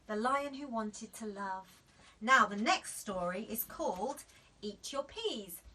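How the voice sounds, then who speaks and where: A woman reading picture books to children as an expressive storyteller. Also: mid-range voice, recorded from a distance.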